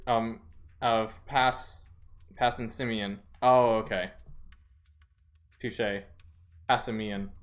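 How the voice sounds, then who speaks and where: A man's voice: medium pitch, fairly bright tone. A young man streaming a video game, chatting casually, reading game text aloud and tripping over names. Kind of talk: casual let's-play chat.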